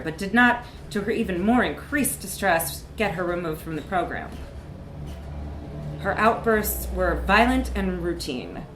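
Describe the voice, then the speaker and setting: A woman's voice: on the low side, fairly bright tone, roomy sound, slight background noise, poor audio. A woman reads a comic short story aloud at a live event, with wry, emphatic narration. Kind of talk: animated literary narration, live reading.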